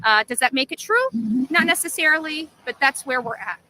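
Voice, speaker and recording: Woman, high-pitched, sharp bright tone, rambling explanatory livestream talk, faint background noise. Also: animated, normal speed. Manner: rambling, explanatory, checking with listeners. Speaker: livestream amateur sleuth.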